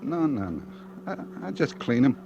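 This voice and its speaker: A man with an American accent playing a squeamish, rattled morgue worker in a halting, jittery 1940s radio style. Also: mid-range voice, very animated, gravelly, darkish tone, highs cut off. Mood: uneasy, halting. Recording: noisy old radio drama recording.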